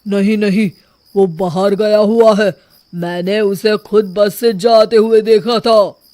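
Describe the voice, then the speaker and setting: A woman's voice: medium pitch, fairly even intonation, slow, dark tone, no room sound, natural voice. A woman voicing a cocky, scheming burglar's accomplice in a cartoon, with a sly manner.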